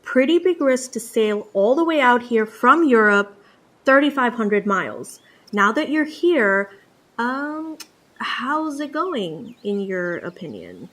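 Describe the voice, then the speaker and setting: A woman's voice: on the high side, sing-song, slow, fairly bright tone. A woman playing a wry, reluctant reporter in a comedy sketch.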